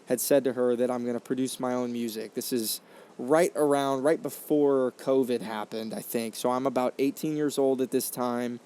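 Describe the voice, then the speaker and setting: A young man in his twenties speaks into a condenser microphone as he hosts his own podcast. His voice sits at an everyday middle pitch. He is earnest and reflective, and often circles back with fillers and restarts as he tells his story. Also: steady tone, moderate pace, dark tone, clean tone. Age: 20s. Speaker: podcast host. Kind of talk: casual storytelling monologue.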